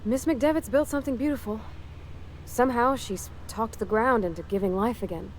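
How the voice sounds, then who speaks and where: A woman's voice: fairly high pitch, moderate pace, slight background noise, natural voice, rough recording. A woman voicing an earnest, kind-hearted young colony mechanic in a video game, hesitant and apologetic, with folksy phrasing. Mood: earnest, troubled. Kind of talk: naturalistic video game dialogue acting.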